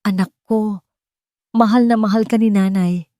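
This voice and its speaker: A woman's voice: mid-range voice, fairly even intonation, moderate pace, mellow, no room sound, clean studio sound, voice pushed up, close to an everyday voice. A woman voicing a grateful, humble housemaid mother in a story, deferential and emotional. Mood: tender.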